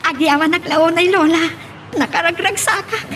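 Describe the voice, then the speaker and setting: A woman's voice: very high-pitched, normal speed, a bit bright. A woman playing an affectionate young bride and granddaughter in a radio drama, with a Filipino accent. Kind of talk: Ilocano radio drama acting. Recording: noticeable background noise.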